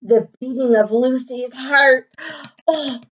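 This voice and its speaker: A woman reading a children's story aloud in a deliberate way, checking in with her young listeners, on a slightly dull recording. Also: high voice, steady tone. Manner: deliberate, checks in with listeners using tag questions. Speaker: storybook read-aloud narrator, educator.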